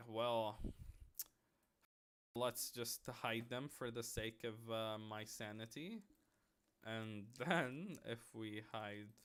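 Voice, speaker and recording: Male streamer from Lebanon, mid-pitched and slow-paced, casually thinking aloud with self-mocking asides. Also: a bit bright. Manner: thinks aloud with playful sound-words and muttered self-mockery. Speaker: streamer, game level developer. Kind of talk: casual livestream commentary while working.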